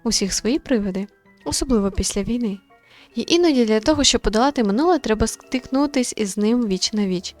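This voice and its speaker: A woman voicing a composed, modest young female medium in a visual novel, calm, polite and measured. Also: a little high, soft background music, natural voice. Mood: modest, reflective.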